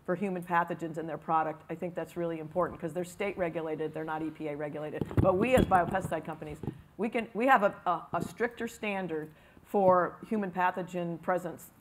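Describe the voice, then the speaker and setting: A woman speaking on a conference panel, explaining in a halting way with frequent restarts. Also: on the low side, slight background noise. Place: conference room.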